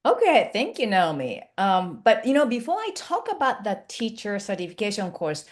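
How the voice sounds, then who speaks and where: A woman's voice: mid-range voice, moderate pace. A woman from Japan in her forties with a Japanese accent, co-hosting a live webinar and chatting with an easy laugh. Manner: warm, laughing, animated. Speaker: face yoga founder and co-host.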